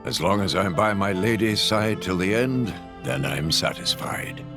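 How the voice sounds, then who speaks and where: A man's voice: low-pitched, expressive, a little slow, gravelly, full character voice. A man voicing a devoted, dignified male companion in a video game, with a formal, courteous manner. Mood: devoted, content. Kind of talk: video game character acting. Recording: music behind the dialogue.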